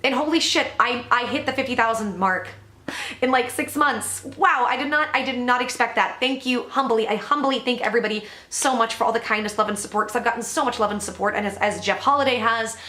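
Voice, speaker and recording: A woman hosting a commentary vlog update, blunt, profane and emphatic, with a loud voice that sounds worn from overuse. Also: on the high side, a little fast. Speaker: commentary channel host. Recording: echoey room.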